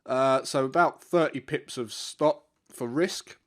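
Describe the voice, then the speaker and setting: A man's voice: mid-range voice, slow. Stuffy-nosed man explaining charts. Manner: relaxed, repeats himself, many fillers. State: congested, stuffy nose. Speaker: forex trading educator. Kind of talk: live market analysis walkthrough.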